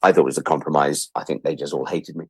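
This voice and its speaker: A middle-aged British man chatting casually as an interview guest, telling stories in an animated, self-deprecating, joking way. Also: rumbling low, quick pace, slightly rough, no echo, clean studio sound. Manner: animated storyteller who acts out remembered exchanges. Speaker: interview guest, audio drama producer and director. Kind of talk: casual anecdotal interview talk.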